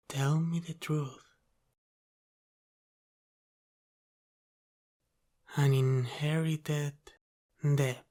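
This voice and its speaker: A man playing a teasing, protective Italian-American mafia boss in an intimate ASMR roleplay, speaking softly and deliberately and slipping into whispers. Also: medium pitch. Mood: teasing, probing.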